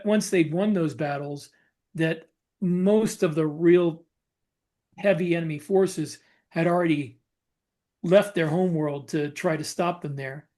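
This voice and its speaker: Higher-voiced man in podcast chat. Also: darkish tone. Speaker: podcast co-host. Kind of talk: casual podcast discussion.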